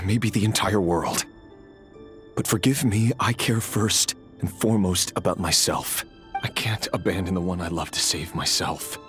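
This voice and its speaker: A man's voice: low voice, fairly bright tone. An actor playing an anguished, devoted young man in a fantasy video game, speaking earnestly and intensely in formal phrases. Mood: pleading. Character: anguished, devoted young male fantasy hero, desperate and defiant. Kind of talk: dramatic video game acting and narration.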